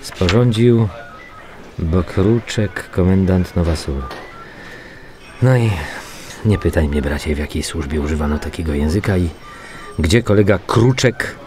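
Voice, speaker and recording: An actor playing a matter-of-fact, weary male police investigator in a radio drama, muttering and repeating himself haltingly. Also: low voice, a little mellow, faint music behind. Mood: wry, businesslike. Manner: halting, muttering repetitions while reading out reports. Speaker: actor in an audio crime drama. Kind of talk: naturalistic radio drama dialogue.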